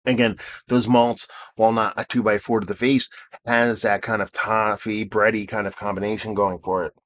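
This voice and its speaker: A man in his thirties, hoarse from losing his voice, casually reviewing a beer with rambling, self-deprecating asides and lots of fillers. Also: on the low side, level tone, normal speed, a bit bright, slight background noise. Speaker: beer reviewer and show host. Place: outdoors.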